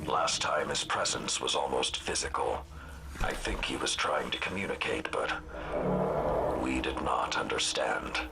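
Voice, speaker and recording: A man playing a grave, battle-worn old warrior lord in a video game, solemn and measured, with a gravelly voice. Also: extremely low pitch, animated, heavy background noise, full character voice. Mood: grave, foreboding. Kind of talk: video game cutscene acting. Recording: heavy game sound behind the voice.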